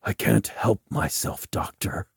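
Tormented lovesick man, acted by a male narrator: low, slow, hesitant, plaintive, dry recording. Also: animated, creaky, warm tone, slightly rough, no echo, exaggerated voice. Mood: plaintive, resigned. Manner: hesitant, drowsy. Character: drowsy, tormented, lovesick man pleading for help. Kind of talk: audiobook character dialogue.

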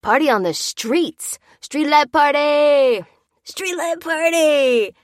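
An excitable street reveler, played by a woman narrator, pitched high and whooping. The slangy exclamations are stretched out slowly and loudly in this audiobook scene. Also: no echo, clean studio sound. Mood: wild elation. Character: excitable young party-mad street reveler in radio interview.

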